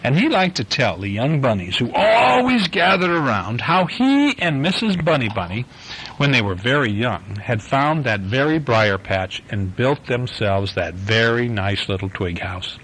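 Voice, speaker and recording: A man reading a picture book aloud to his young daughter at bedtime at home, gently and unhurried. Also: medium pitch, big pitch swings, slight background noise, rough recording. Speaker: father reading a bedtime story. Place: home bedroom.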